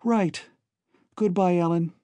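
Guy doing a worried young woman in an adventure story, pitched up, eager and pleading. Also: takes it slowly, warm tone, dry recording. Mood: eager, anxious. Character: anxious, devoted young woman pleading with her friend. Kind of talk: dramatized audiobook dialogue.

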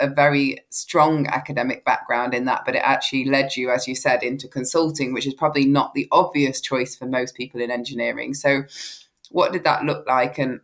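A woman hosting a podcast interview, asking polished, friendly questions. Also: very deep voice. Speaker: host.

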